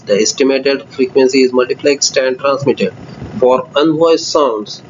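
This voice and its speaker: A male lecturer walks through a technical diagram at a mid pitch. He reads formal sentences and then drifts into looser remarks of his own. The recording sounds dull and lo-fi, with a faint noise underneath. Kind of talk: explaining a block diagram.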